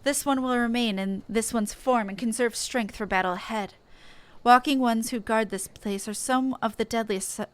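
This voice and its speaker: A woman's voice: a little high, no echo, lightly acted. A woman voicing an earnest forest sylph from a fantasy game, speaking in stilted, formal phrases. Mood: earnest, resolute.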